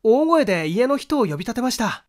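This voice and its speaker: A man's voice: extremely high pitch, big pitch swings, fairly quick, darkish tone, pitched above the performer's own voice. A man voicing an earnest young male witness in a mystery audiobook, recounting events formally and in detail. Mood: earnest, urgent.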